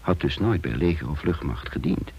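A man acting in a radio drama as a brisk, authoritative army officer giving clipped orders. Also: a bass voice, sing-song, gravelly, muffled recording, slight background noise, exaggerated voice. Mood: reflective, subdued. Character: brisk commanding male military officer leading a raid.

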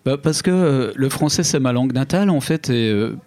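A man's voice: medium pitch, lively intonation, fairly quick, a little mellow, dry recording. A man from France, a guest musician, answering interview questions casually with many fillers. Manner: hesitant, full of fillers.

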